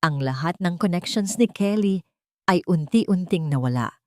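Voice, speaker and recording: A woman's voice: on the low side, expressive, unhurried, darkish tone, no echo, clean studio sound. A woman reading a scripted, dramatic romance story as its narrator.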